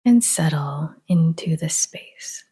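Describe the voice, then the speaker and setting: A woman guiding a relaxation meditation in a soft, half-whispered, breathy voice, calm and gentle, with long pauses. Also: deep voice. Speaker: meditation guide.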